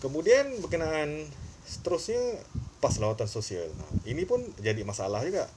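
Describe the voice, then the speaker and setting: A man's voice: on the high side, big pitch swings, moderate pace, noisy background. A man with a Malaysian accent giving informal, opinionated commentary in an emphatic, repetitive way, with frequent short filler tags. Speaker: online commentary channel host.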